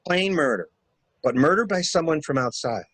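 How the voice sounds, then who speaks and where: A man's voice: on the high side, animated, normal speed, a bit bright, breathy edge, no room sound. A man in a dramatic mystery reading, playing a shrewd stranger who questions a widow with wry, insistent probing. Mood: wry, calculating. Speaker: library staff reader.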